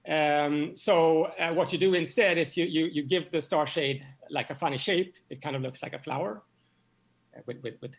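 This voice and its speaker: Man, fairly high pitch, gravelly fry, explanatory interview talk, halting delivery with repeated words. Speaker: astronomy professor, interview guest.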